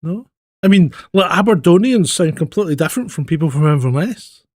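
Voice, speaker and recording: A Scottish man co-hosting a podcast, his accent leaning West Coast. He talks bluntly and animatedly, with a slightly high voice, recorded close and dry. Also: expressive, a little fast, darkish tone, no room sound. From Scotland. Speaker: podcast co-host. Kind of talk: casual chat.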